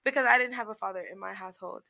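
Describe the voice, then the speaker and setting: A woman's voice: mid-range voice, rapid-fire, gravelly, sharp tone, dry recording. A woman guest on a panel, giving personal opinions in an emphatic, conversational way and correcting herself as she goes. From outside the United States. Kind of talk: casual debate, personal opinion.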